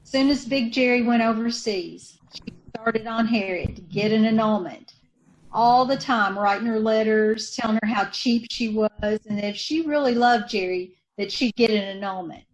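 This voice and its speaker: A bitter, worn-down woman with a folksy drawl of broken grammar spits out her grudges, as an actress plays her in a radio play. Her voice sits a little high for a woman. She stays defiant and resentful throughout. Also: moderate pace, a little hiss or hum, lightly acted, poor audio. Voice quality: folksy ungrammatical speech, resentful. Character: bitter poor woman, protective sister. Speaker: radio actor. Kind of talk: old-time radio drama acting.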